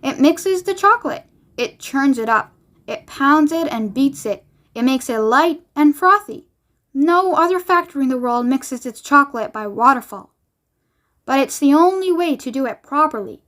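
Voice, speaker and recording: A woman voicing an exuberant, boastful, eccentric male chocolate factory owner in a storybook reading, excitable and theatrical. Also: high-pitched, moderate pace. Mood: proud, excited. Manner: excitable, theatrical, showing off with eager questions.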